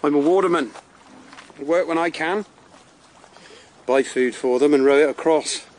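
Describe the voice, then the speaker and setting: A man's voice: a little high, normal speed, a little mellow, lightly acted, poor audio. A man acting an earthy, wry and plaintive working-class Londoner of plague times in a radio drama, talking colloquially. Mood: sombre, anxious.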